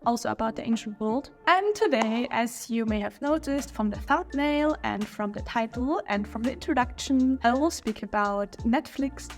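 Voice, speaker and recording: A woman's voice: a little high, expressive, moderate pace, hardly any pauses, faint music behind. A woman whose first language is German speaks English with a German accent, hosting a history channel in a casual, opinionated video review with small slips and restarts.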